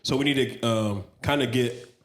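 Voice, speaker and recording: A man's voice: medium pitch, moderate pace, slight background noise. A man hosting a podcast in a casual, slangy style, speaking informally to listeners and guest in a studio. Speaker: podcast host.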